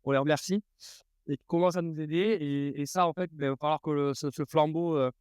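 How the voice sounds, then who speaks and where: Man with a smooth voice, halting pitch. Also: darkish tone, no room sound, clean studio sound. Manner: halting, many fillers and restarts. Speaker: startup co-founder. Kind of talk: casual pitch while eating hot sauces. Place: room around a table.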